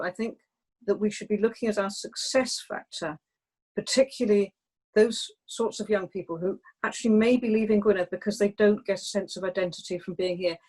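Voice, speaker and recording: Thoughtful woman with a measured delivery. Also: mid-range voice, darkish tone, rough recording. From England. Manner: thoughtful, measured, with frequent restarts. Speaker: guest. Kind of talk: panel discussion.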